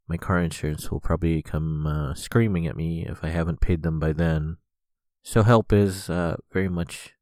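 A man's voice: sits low, darkish tone, dry recording. A young man hosting a rambling solo podcast, stuffed up with a cold, filling his sentences with lots of "uh". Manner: rambling, many "uh" fillers. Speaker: podcast host.

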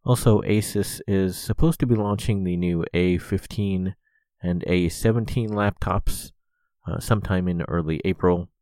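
A young man with a very deep, low voice, talking through a stuffy head cold. He rambles casually on his solo podcast and leans on frequent "uh" fillers. The recording is close and dry, with no room sound. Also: unhurried, dark tone, no echo. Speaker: podcast host.

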